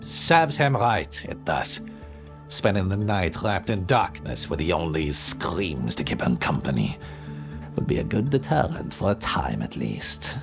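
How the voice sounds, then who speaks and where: A man voicing a brooding, protective male vampire lord in an audio drama, smooth and lilting, sinking into low menacing murmurs. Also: big pitch swings, unhurried, exaggerated voice. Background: soft background music.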